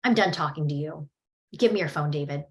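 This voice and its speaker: A woman's voice: low voice, fairly quick, close to an everyday voice. A woman reading the lines of a stern, domineering father in his forties at a script read, in a cold, commanding way. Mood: harsh, commanding.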